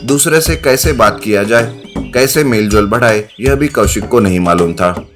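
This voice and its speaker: A man's voice: fairly low pitch, normal speed, music in the background. A man reading a scripted children's-style moral story as the storyteller.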